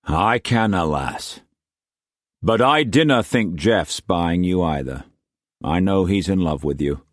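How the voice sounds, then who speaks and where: A man voicing a grave, weary merchant with a Scottish accent, speaking low and measured. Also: animated, dry recording, studio quality, heavily acted.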